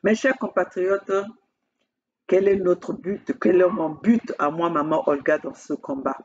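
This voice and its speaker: A woman from Congo, addressing her camera as a political activist in an emphatic, repetitive way, halting often on fillers. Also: lowish voice, steady tone, a little mellow, muffled recording, a little hiss or hum. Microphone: speaking to own camera.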